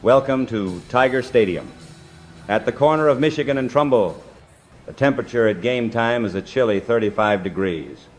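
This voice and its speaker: Man calling baseball play-by-play, measured delivery. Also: medium pitch, a little hiss or hum. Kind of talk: play-by-play sports commentary.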